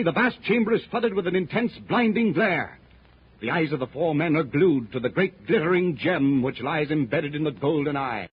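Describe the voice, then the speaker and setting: Husky old-time radio serial narrator, male. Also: high-pitched. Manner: suspenseful, theatrical delivery. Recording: muffled 1930s radio recording.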